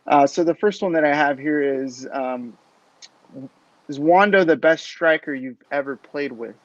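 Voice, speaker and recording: A man with a slightly high voice hosts a casual sports podcast interview. The sound is dry and a little dull and lo-fi. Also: a little slow, no echo.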